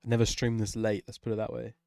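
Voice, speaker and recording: A man's voice: lowish voice, completely flat delivery, fast, creaky, mellow, no room sound, studio quality. A man casually chatting on a livestream while he works, informal and sweary, often muttering to himself. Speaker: livestream host, music maker.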